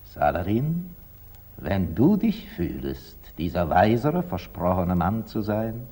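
Wise dignified merchant, low voice, big swooping intonation, slow solemn 1940s radio drama. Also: male voice, big pitch swings, warm tone, breathy edge, exaggerated voice. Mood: careful, polite. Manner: measured, solemn, declamatory stage delivery. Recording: aged 1940s radio recording.

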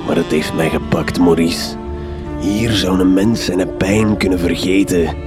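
An actor with a Flemish accent playing a gruff, foul-mouthed, blustering detective in a radio drama. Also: male voice, deep voice, fairly even intonation, unhurried, over music. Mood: gruff enthusiasm.

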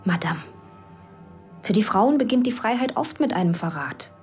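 An actress playing a cool, calculating police investigator who talks tersely, with whispered inner asides. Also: female voice, mid-range voice, expressive, normal speed, darkish tone, soft background music, natural voice. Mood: cool, pressing. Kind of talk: radio play acting.

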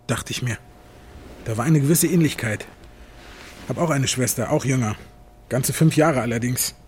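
A man's voice: mid-range voice, moderate pace, a little mellow, slightly rough. A man acting in a radio drama as a chatty, folksy hospital patient who muses in short colloquial sentences. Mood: confiding, musing.

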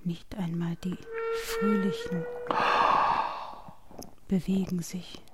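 A woman improvising poetry in halting fragments, often whispered, recorded outdoors with noise and clicks. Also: mid-range voice, wildly swooping pitch. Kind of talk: improvised poetic speech. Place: outdoors in a forest.

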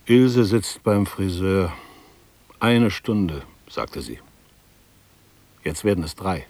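A man's voice: low-pitched, animated, slow, a little mellow, full character voice. An actor playing a fussy, fretful middle-aged male bank clerk thinking aloud in a radio drama, precise and ruminative. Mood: worried, fretful. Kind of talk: 1960s German radio drama monologue.

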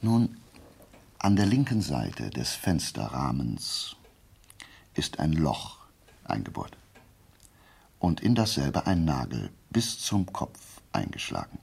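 An actor playing a methodical, self-assured amateur detective in a radio play, gravelly and husky, explaining with deliberate, didactic emphasis. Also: male voice, extremely low pitch, big pitch swings, darkish tone, full character voice. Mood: assured, analytical.

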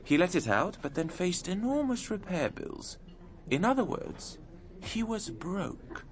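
A man's voice: high voice, very animated, measured pace, a little hiss or hum, heavily acted. An actor playing a wry storyteller who recalls his friend in a radio drama. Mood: wry, reflective.

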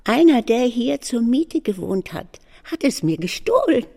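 Creaky, high-voiced confused old widow. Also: female voice, very animated, mellow, full character voice. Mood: suspicious, insistent. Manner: halting, plaintive, bewildered. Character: elderly confused, grieving widow landlady, tearful and suspicious. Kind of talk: radio play acting.

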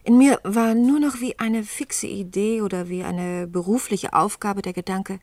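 A woman's voice: mid-range voice, fairly even intonation, measured pace, darkish tone, no room sound, natural voice. An actress plays an anxious woman in a radio drama, caught very close and dry. She speaks intimately and with strain, as someone living through a search for a man she cares about. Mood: subdued, tense recollection. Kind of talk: German radio play acting and narration.

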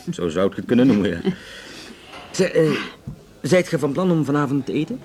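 An actor playing a courteous, flirtatious Flemish police detective in a naturalistic radio drama, speaking hesitantly with dry humour. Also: male voice, mid-range voice, very animated, moderate pace, a little mellow, natural voice. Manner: hesitant, dryly joking, conversational.

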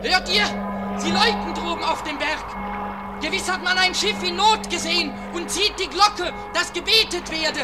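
A man's voice: very high-pitched, normal speed, over loud music. An actor playing a fisherman's frightened young son who speaks in an urgent, pleading way. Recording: storm sound effects behind.

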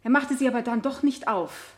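An actress playing an anguished, betrayed wife on the witness stand in a radio play, emotional, halting and insistent. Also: female voice, fairly high pitch, lightly acted. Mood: grave, remembering. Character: anguished, betrayed wife testifying against her husband. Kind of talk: 1970 German radio play acting.

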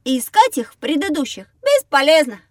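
A curious, matter-of-fact little schoolboy in a children's audio adventure. The voice is extremely high and closely recorded with a dry sound, eager and a little cheeky. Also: female voice, big pitch swings, brisk, dry recording. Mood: curious, satisfied. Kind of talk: children's educational audio drama acting.

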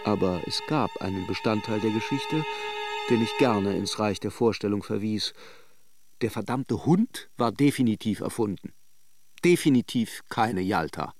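An actor playing a brooding, introspective lover in a radio drama, speaking measured, self-questioning lines. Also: male voice, fairly low pitch, lively intonation, faint music behind. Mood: wry, melancholy. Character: brooding male narrator-lover arguing with his own doubts. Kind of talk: literary radio drama monologue.

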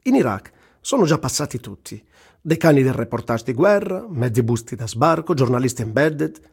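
A man's voice: medium pitch, animated, moderate pace, gravelly, a little mellow, husky, full character voice. An actor reciting as a wry, earthy, self-mocking male war journalist, in a dramatic literary radio-theatre reading. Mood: ironic, animated. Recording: voice over prominent music. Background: music.

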